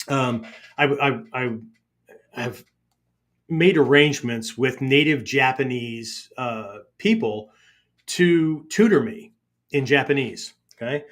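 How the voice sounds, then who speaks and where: A man's voice: mid-range voice, lively intonation, slow, fairly bright tone. A middle-aged man from Pennsylvania hosting a podcast about martial arts, talking in a loose casual monologue that keeps checking in with "right?" and restarting his sentences. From Pennsylvania, United States.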